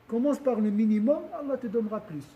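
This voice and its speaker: High-voiced man teaching a religious lesson. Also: quick pace, dull audio, slight background noise. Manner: questions the audience often, repeats and restarts phrases.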